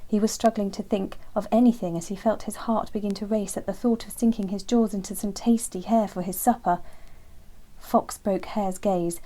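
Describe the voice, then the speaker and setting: A woman narrating a children's bedtime story in a gentle, expressive storyteller's manner. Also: mid-range voice, low-quality recording.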